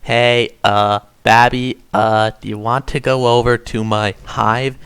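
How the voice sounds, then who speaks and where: A man's voice: mid-range voice, flat delivery, takes it slowly, fairly bright tone, a little hiss or hum. Man doing Tavros, a shy stammering troll boy. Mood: hesitant. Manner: hesitant, stammering with frequent uhs. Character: Tavros, shy lovesick young troll boy, awkward and angsty. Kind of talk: amateur dramatic reading of fan fiction.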